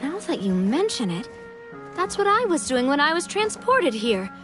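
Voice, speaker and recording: A woman's voice: sits high, very animated, moderate pace, fairly bright tone, soft background music. A woman playing a genial, thoughtful young woman in a video game, speaking expressively like a storyteller. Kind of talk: video game character acting.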